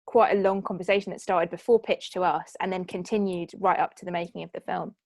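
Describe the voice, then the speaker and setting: A woman's voice: fairly low pitch, fairly even intonation, a little fast, vocal fry, no echo. A woman hosting a panel Q&A in an informal, easygoing and conversational way. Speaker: Q&A host and moderator. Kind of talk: casual panel moderating.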